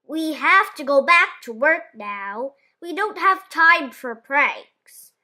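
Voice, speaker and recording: An exasperated village baker woman from a children's storybook, played by a woman reader. She is high and bright in voice, weary and dismissive, with drawn-out, no-nonsense words. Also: expressive, heavily acted. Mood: weary, annoyed. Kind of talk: children's storybook read-aloud.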